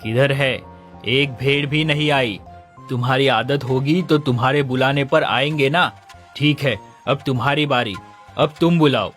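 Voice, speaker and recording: A man playing a stern, questioning village headman who judges disputes in an animated folk story. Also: mid-range voice, unhurried, natural voice. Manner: authoritative, questioning. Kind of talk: Hindi animated moral story dialogue. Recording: music under the voice.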